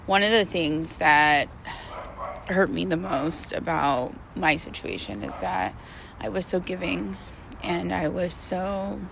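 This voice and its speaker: A woman talking in a casual, confessional monologue, candid and halting, repeating words for emphasis. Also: fairly low pitch, creaky, a bit bright, a little hiss or hum, low-quality recording. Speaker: vlogger.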